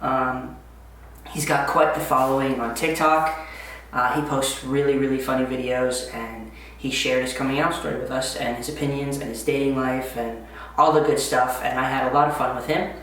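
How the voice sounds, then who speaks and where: A man's voice: medium pitch, steady tone, moderate pace, fairly bright tone, slight background noise. A man of twenty-five, hosting a podcast in an upbeat, chatty way with many "um" fillers. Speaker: podcast host. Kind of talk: casual chat. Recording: very echoey room, far from the mic.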